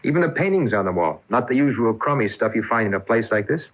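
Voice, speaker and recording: An actor playing a practical, affectionate, skeptical boyfriend in a radio mystery, casual and emphatic. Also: male voice, mid-range voice, expressive, quick pace, fairly bright tone. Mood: breezy. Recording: dull off-air AM radio recording.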